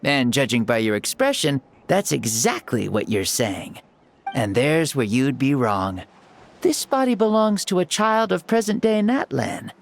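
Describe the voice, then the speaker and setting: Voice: medium pitch, expressive, unhurried, creaky, slight background noise. An actor voicing an ancient male fire god who now speaks through a boy's body, playful and full of jokes in a video game cutscene. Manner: playful, joking, self-assured. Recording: game audio with faint music.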